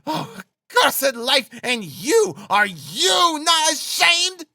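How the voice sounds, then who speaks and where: A man's voice: very high-pitched, sing-song, drawn-out words, creaky, pushed and bright, dry recording, studio quality, voice pushed up, heavily acted. A man voicing a frenzied, despairing poor wife in an audiobook, shrieking and accusing frantically. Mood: furious reproach. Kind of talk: audiobook character acting.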